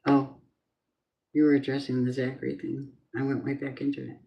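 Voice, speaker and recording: A middle-aged woman with a low voice, chatting in a rambling, casual way as she gives a tarot reading on a live stream. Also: little pitch movement, a little fast, gravelly, muffled recording. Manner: chatty, rambling, drifting off topic. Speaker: tarot reader.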